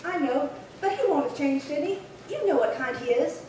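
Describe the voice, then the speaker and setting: A woman's voice: high voice, expressive, normal speed, warm tone. A woman playing an anxious but defiant frontier wife, urgent and plainspoken, in old-time radio drama style. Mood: anxious, pleading. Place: little theatre stage, distant mic. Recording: very echoey hall, far from mic, faint noise.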